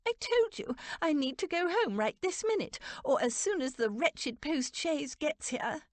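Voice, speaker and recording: A woman's voice: high voice, expressive, measured pace, no echo, voice pushed up. A woman voicing an agitated, impatient old aristocratic English lady who wails and insists in distress, in a storybook reading. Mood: wailing, insistent.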